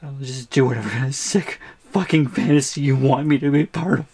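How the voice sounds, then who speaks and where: A woman's voice: very deep voice, fairly quick, warm tone, husky, exaggerated voice. A woman playing a frightened, pleading young man held captive, in an emotional ASMR audio roleplay. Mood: grieving, resigned.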